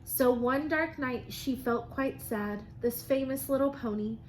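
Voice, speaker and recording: A woman's voice: high voice, a little slow, a little hiss or hum, poor audio. A woman, an elementary teacher reading and chatting to young children, often asking them questions and affirming their answers. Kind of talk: children's read-aloud and drawing lesson.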